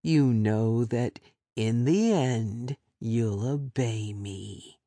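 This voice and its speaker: A man voicing a cruel, controlling man who talks low, slow and coldly mocking. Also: mellow, no room sound. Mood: menacing.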